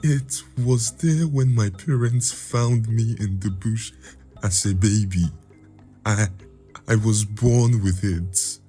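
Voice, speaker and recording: Folktale heroine, voiced by a man: young woman, gentle, resilient, earnest, pitched higher. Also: takes it slowly, soft background music. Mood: trembling.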